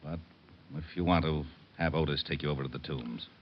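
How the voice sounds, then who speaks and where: An actor playing a gruff, weary homicide lieutenant, matter-of-fact, in a vintage radio detective drama. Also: male voice, rumbling low, fairly quick. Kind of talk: 1940s-50s radio detective drama acting. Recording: muffled old radio recording.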